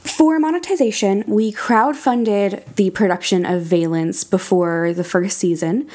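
A woman's voice: medium pitch, measured pace, mellow. A woman speaking as an interview guest, explaining things in an articulate, conversational way. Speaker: podcast critic and audio drama creator, guest.

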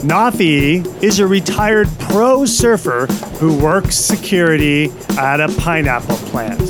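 A man with a notably high voice hosts a podcast. He presents material to listeners and interviews guests. Music plays clearly behind his voice. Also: lively intonation, slow pace. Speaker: podcast host.

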